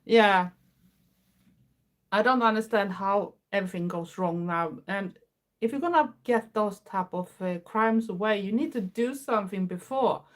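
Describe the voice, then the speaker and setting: A woman's voice: mid-range voice, unhurried. A Swedish woman in her fifties with a Swedish accent, chatting earnestly to her viewers in English with small grammar slips. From Sweden. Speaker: livestream host. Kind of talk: casual chat.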